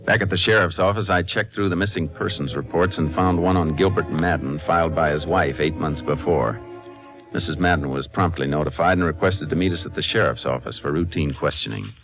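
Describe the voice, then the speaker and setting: An actor playing a calm, methodical ranger in an old-time radio drama, terse and polite as he questions. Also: a bass voice, a little fast, music in the background. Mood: matter-of-fact narration. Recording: noisy vintage radio recording.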